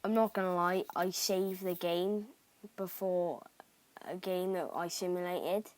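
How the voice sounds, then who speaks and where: A young man from Cardiff with a Cardiff Welsh accent, chatting excitably through gameplay commentary with rambling asides. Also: sits high, measured pace, no echo. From Cardiff, Wales. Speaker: gaming YouTuber.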